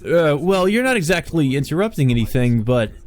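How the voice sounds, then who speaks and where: A man's voice: a little high, sing-song, fairly quick, faint music behind, voice pushed up. A man voicing an anxious, guarded male artist who is hesitant, evasive and formally polite, in a visual novel. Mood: nervous, evasive.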